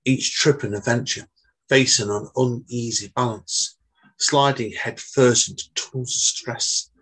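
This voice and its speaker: Husky-voiced man in his late forties. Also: medium pitch, steady tone, a bit bright, rough recording. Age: 40s. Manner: chatty, says mate, reads slow and measured. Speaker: podcast host. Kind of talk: casual chat and poetry reading.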